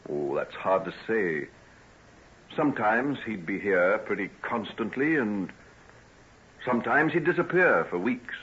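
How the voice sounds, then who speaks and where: An actor playing a guarded Victorian gentleman doctor in an old-time radio drama, with measured, hesitant answers. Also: fairly low pitch, big pitch swings. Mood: guarded, evasive. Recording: dull vintage radio recording.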